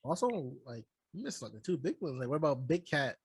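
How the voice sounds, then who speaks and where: Higher-voiced man, dry sound, emphatic casual chat. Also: big pitch swings, brisk, husky, no echo. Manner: emphatic, swears freely, peppers talk with like. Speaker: podcast co-host reviewing a movie. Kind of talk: casual, slangy movie chat.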